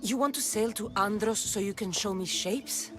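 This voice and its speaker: A woman's voice: mid-range voice, normal speed, lightly acted. An actress playing a determined young female warrior who talks directly and dryly, over game music. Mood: questioning.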